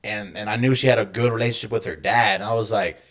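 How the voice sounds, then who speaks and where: A man who works in a hospital, co-hosting a casual podcast and telling a story in animated, profane, slangy language. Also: on the low side, quick pace. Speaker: podcast co-host; hospital medical worker.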